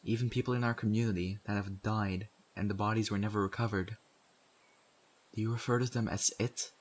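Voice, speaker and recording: A man playing a stern, principled and exasperated survivor in a zombie apocalypse audio roleplay, speaking earnestly and scoldingly with deliberate, pointed pauses. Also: lowish voice, fairly even intonation, natural voice. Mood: reproachful. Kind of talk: audio roleplay acting addressed to the listener.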